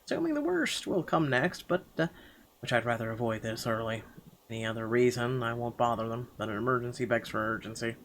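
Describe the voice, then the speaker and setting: A man's voice: medium pitch, lively intonation, fairly quick, fairly bright tone. Man narrating game text over background noise. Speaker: game player reading the text aloud. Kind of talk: dramatic first-person narration read aloud.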